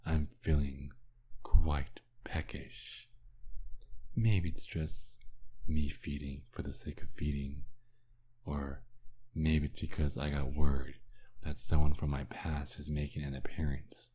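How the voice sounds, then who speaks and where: A man playing a cruel, mocking vampire kidnapper in an ASMR roleplay, talking slowly and menacingly with breathy, whispered edges. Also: rumbling low, level tone, dark tone, full character voice. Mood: cold menace.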